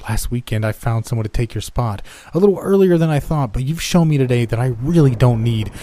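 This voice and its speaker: A man voicing a bullying older male diner owner who yells, curses and sneers. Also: medium pitch, lively intonation, takes it slowly, no room sound, pitched above the performer's own voice. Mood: furious. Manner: yelling, cursing, contemptuous.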